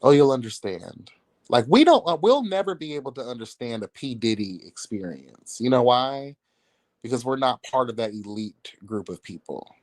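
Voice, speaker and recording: A man's voice: medium pitch, sing-song, moderate pace, no room sound. A man giving casual celebrity gossip commentary as a livestream host, with a sassy, catty, blunt and slangy way of talking.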